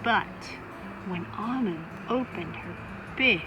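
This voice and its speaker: A woman reading a children's picture book aloud, expressively, with dramatic emphasis and drawn-out phrases. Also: mid-range voice, highs cut off, music in the background. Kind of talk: expressive scripted read-aloud for young children.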